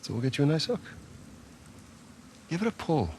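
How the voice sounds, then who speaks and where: A man's voice: fairly high pitch, very animated, moderate pace, a little mellow, a little hiss or hum. A man acting as a cocky, eager treasure hunter in a video game, breezy and sardonic. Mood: breezy coaxing. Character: cocky, eager adult male treasure hunter, older brother. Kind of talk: naturalistic cinematic game dialogue. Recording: cinematic music behind dialogue.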